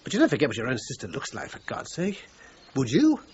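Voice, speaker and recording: A man's voice: medium pitch, huge pitch swings, fast. Earnest, agitated man, muffled recording. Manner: stammering, self-deprecating, insistent. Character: earnest, agitated hack composer convinced his sister was replaced. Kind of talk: naturalistic radio drama acting. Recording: dull lo-fi radio recording, background noise.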